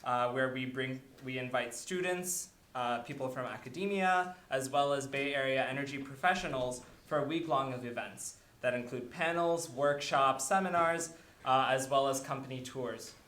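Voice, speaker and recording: A man's voice: mid-range voice, normal speed, fairly bright tone. Young man giving welcome remarks in a roomy hall. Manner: filler-heavy, listing events. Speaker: student club organizer. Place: lecture hall.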